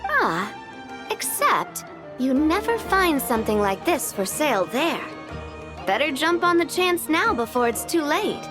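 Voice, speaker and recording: A woman's voice: high-pitched, very animated, unhurried, music in the background. An actress playing a persuasive woman urging a purchase in a video game.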